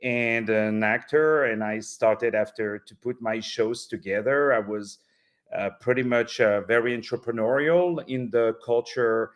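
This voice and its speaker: A man's voice: mid-range voice, measured pace. A middle-aged man from France with a French accent, talking in an interview as a film director, effusive and joking, with many fillers. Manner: effusive, joking, talks with his hands.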